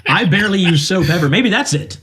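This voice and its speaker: A man's voice: high voice, lively intonation, fairly quick, fairly bright tone. A man in his late forties from Central Ohio, co-hosting a podcast in casual, joking, self-mocking chat. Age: 40s. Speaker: podcast co-host.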